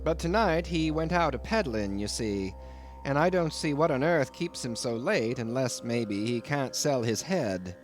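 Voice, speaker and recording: A man's voice: mid-range voice, animated, a little slow, soft background music, lightly acted. A man voicing a folksy, sly, amused innkeeper with a rustic, teasing manner in an audiobook. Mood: sly amusement. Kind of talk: audiobook character voice.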